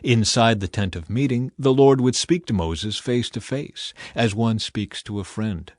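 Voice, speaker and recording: Man narrating an audio bible, measured n serious, super dry studio-type sound. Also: lowish voice, no echo. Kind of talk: scripted scripture narration.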